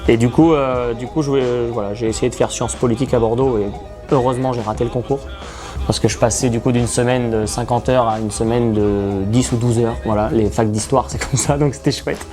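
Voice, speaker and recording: A man's voice: mid-range voice, fairly even intonation, fairly quick, music in the background. A man in his thirties from France, a racing commentator, answering interview questions in an earnest, hesitant way. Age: 30s. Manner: earnest, self-described shy, frequent hesitations.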